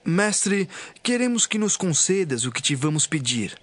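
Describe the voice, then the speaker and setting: A man's voice: high-pitched, very animated, unhurried, exaggerated voice. An actor playing an eager, ambitious male disciple making formal entreaties to his master in a scripture audio drama.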